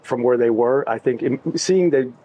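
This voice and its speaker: A man's voice: mid-range voice, normal speed, warm tone. Easygoing middle-aged man, faint hum. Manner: easygoing, hesitant with many "uh" restarts. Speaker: tech executive, interviewee. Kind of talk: casual backstage interview.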